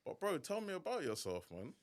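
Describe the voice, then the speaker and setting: A man hosting a casual podcast, talking in a relaxed, slang-heavy way. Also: fairly high pitch, fairly quick. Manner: laid-back slang-heavy chat, hype intro. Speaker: podcast host.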